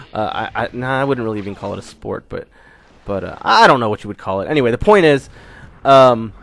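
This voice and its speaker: A man's voice: mid-range voice, lively intonation, fairly quick, gravelly, a bit bright, no echo. A young man giving a casual channel update, rambling with many fillers and restarts while still getting over a cold. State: recovering from a cold. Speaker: gaming channel host.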